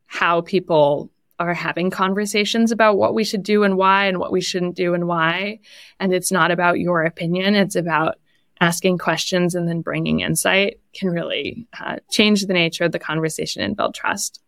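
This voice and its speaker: Low-pitched woman giving advice, dry close sound. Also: normal speed, a bit bright, no room sound. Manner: laughs lightly while talking. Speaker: podcast guest, product leader and coach. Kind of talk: conversational interview answers, giving advice.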